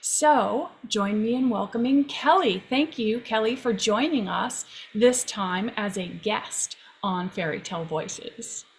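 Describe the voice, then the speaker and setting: A woman hosting an interview in an unhurried, gently appreciative, measured way. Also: mid-range voice, a little hiss or hum. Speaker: podcast host.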